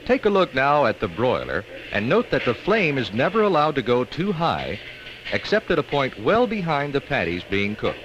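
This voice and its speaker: An American man reads scripted training film narration in an instructional way with measured emphasis, on an old 1960s film soundtrack. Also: medium pitch, huge pitch swings, muffled recording, background noise.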